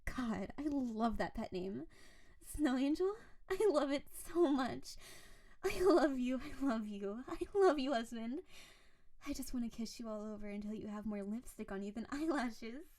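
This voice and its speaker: A woman performs a lovestruck snow-spirit wife for a romantic audio roleplay. Her voice is fairly high yet soft and mellow, cooing endearments straight to the listener. She is doting and possessive, leaning dramatically on her words of devotion. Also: animated, measured pace. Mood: gushing, excited.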